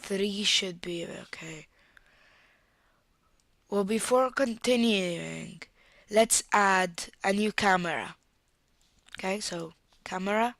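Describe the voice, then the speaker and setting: A young woman with a strong Italian accent and a cold walks through a software tutorial, thinking aloud as she clicks. She speaks very slowly in a mid-range voice. The sound is close and dry, with mouse clicks nearby. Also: medium pitch, creaky, no echo. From Italy. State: has a cold. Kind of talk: screen-recorded software tutorial.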